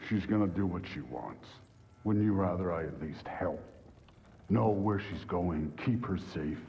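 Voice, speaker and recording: A gravelly, weary thunder god. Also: male voice, deep voice, animated, measured pace, big-room sound, muffled recording, soft background music. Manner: gruff and self-deprecating. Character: huge weary thunder god, remorseful father.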